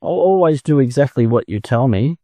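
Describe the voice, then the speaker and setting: Male reader as naive little storybook duckling, Australian, plaintive, eager, pitched up with swooping melody. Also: lively intonation, moderate pace, dark tone, no room sound, lightly acted. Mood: earnest, sorry.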